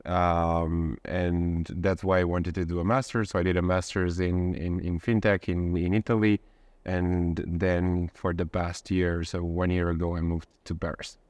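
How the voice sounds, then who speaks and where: A man's voice: low-pitched, flat delivery, measured pace, darkish tone, dry recording. A young man in his twenties, a podcast guest, who talks with many restarts and repeated words. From Romania. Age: 20s. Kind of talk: casual interview.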